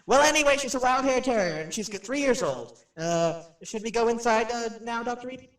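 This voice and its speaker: Wisecracking radio personality, chatty patter, lively swooping pitch, roomy sound, audio drama. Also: male voice, fairly quick, recorded from a distance. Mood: jokey, chatty. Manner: chatty, flippant, showman-like patter. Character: glib wisecracking male radio personality, sceptical and jokey. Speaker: radio show host. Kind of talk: live on-location radio commentary in an audio drama. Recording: roomy, echoing, off-mic drama recording.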